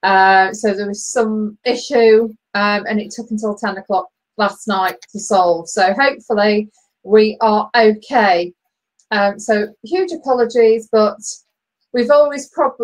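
Middle-aged woman knitting at home, big booming voice, chatty, bit high, webcam call sound. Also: a little slow, rough recording. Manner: chatty, laughs often, loud booming voice. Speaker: co-host of online knitting chat. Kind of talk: casual chat. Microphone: laptop webcam on video call.

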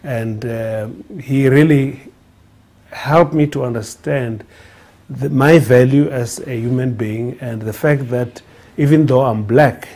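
South African man, earnest, mellow voice. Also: mid-range voice, takes it slowly. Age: 40s. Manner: earnest, many fillers and restarts. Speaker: guest speaker. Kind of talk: personal testimony talk. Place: studio with printed backdrop.

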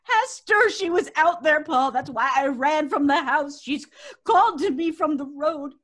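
An actress playing a terrified, pleading wife in an old-time radio drama, breathless and dramatic. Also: female voice, high voice, lively intonation, unhurried, a bit bright. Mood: frantic. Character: terrified, pleading wife haunted by a dead witch.

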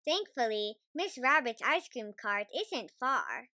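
A woman reading a children's storybook aloud, careful and expressive in her scripted narration. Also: a little high, slow, no room sound, studio quality. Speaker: storybook reader.

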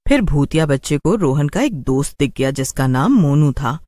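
A man's voice: fairly high pitch, big pitch swings, moderate pace, a little mellow. A man narrating a scripted children's horror story as a storyteller.

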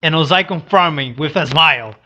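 A young man who is not a native English speaker, giving casual reaction commentary in a halting, hesitant way into a headset mic. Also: on the high side, bright, forceful tone. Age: young adult. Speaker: reaction channel host. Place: small room indoors. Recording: dull lo-fi headset audio.

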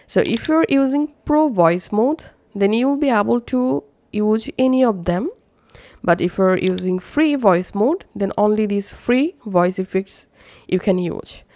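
A woman narrating a step-by-step software tutorial as an instructor. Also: mid-range voice, animated, measured pace, no echo.

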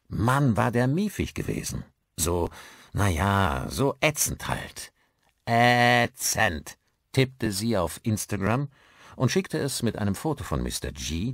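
A man narrating a scripted audiobook in an expressive storytelling manner. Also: deep voice, no room sound, clean studio sound.

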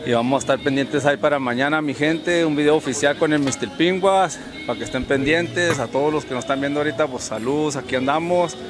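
A man's voice: on the high side, quick pace, hardly any pauses, music in the background. A man in his thirties from northern Mexico, a rapper talking casually and slangily into a handheld mic outdoors, often tagging "¿verdad?". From Ojinaga, Mexico. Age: 30s. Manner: laid-back, slangy, keeps adding "¿verdad?". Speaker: rapper guest. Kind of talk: casual interview talk. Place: outdoors on a park bench by a street.

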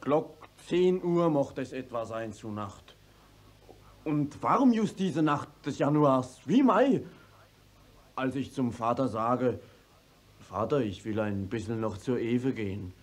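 Young male peasant, mid-pitched voice, rustic, stubborn, wordy, German radio drama. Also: expressive, slow pace. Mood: earnest storytelling. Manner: rustic, stubborn, long-winded storytelling. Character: defiant, plain-spoken young peasant man. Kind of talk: classic German stage radio drama acting.

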